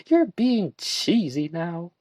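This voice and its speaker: A distraught young woman with a very high, swooping voice, loud and volatile. She breaks into shrill, tearful outbursts, and the dry, close sound keeps her mellow tone clear. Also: very animated, takes it slowly, no echo, exaggerated voice. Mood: upset.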